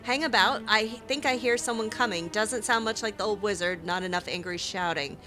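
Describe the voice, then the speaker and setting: A woman's voice: mid-range voice, a little fast, sharp tone, natural voice. Grumbling bandit read by a woman. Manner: gruff complaining, swears "bleeding". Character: grumbling male bandit writing a journal.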